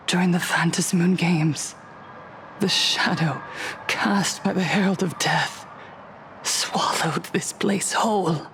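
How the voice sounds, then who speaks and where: Saintly female cult leader, sorrowful, slow, solemn pauses, video game speech. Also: mid-range voice, slight background noise. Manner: solemn, tender, dramatic pauses, often breathy.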